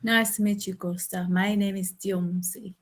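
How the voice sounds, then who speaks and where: A woman's voice: mid-range voice, steady tone, measured pace. A woman from Indonesia, a preschool teacher and English learner, chatting on a video call in a thoughtful way and laughing easily. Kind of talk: casual video call chat. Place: home in the Netherlands.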